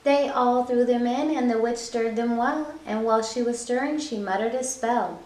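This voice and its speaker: A woman hosting a children's storytime, reading a picture book aloud with an expressive, rhythmic rhyming delivery. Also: fairly high pitch, normal speed. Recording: echoey room.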